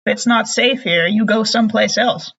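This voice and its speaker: Woman doing a blunt tired-of-it-all lady, breathy voice, lo-fi. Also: a little high, moderate pace, fairly bright tone, heavily acted. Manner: blunt, direct.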